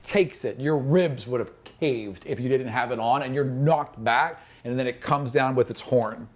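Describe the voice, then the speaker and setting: A man's voice: mid-range voice, moderate pace, a little hiss or hum. A man running a tabletop game as game master, shifting between dramatic description and casual asides. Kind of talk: improvised narration of a tabletop game. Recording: music bed behind the voice.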